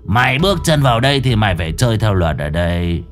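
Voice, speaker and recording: A man's voice: lowish voice, very animated, quick pace, soft background music. A man voicing a brutal, fat henchman who sneers and boasts with a cruel edge. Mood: sneering. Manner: sneering, hissing through teeth, boastful.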